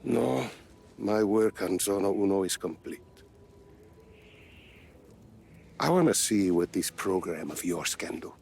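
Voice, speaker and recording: A man playing an older scientist in a video game cutscene, a gentle and earnest uncle who speaks in a measured, formal, explanatory way. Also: low voice, expressive, creaky, slight background noise. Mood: content.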